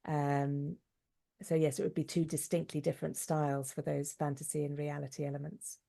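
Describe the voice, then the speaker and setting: A woman in middle age speaks as an interview guest. Her voice sits low, with some gravelly fry at the edges. She answers conversationally, in an articulate and thoughtful way. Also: little pitch movement, normal speed, mellow. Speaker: interview guest, actress, writer and singer.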